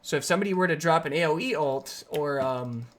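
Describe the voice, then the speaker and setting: A streamer's voice, raspy and sore, talks through a match live in a middle pitch, explaining each play and now and then jumping into excited outbursts. Faint keyboard clicking sits quietly behind the voice at the desk mic. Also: fairly quick, slight background noise. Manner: upbeat, explains plays, with sudden excited outbursts. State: raspy, sore voice from energy drinks. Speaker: game streamer. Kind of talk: live gameplay commentary.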